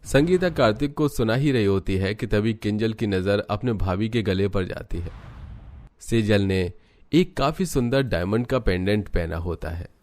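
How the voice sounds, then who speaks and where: A man's voice: fairly low pitch, animated, normal speed, darkish tone. A man reading scripted narration for a family story as its narrator.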